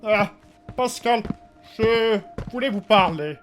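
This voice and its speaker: An actor playing a hesitant, apologetic subordinate eager to justify himself in a fantasy audio drama. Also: male voice, high-pitched, expressive, takes it slowly, fairly bright tone, soft background music, exaggerated voice. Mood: uneasy.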